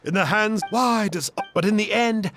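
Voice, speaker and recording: A woman voicing an expressive female character in a video game. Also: medium pitch, moderate pace, lightly acted. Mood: hesitant. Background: music.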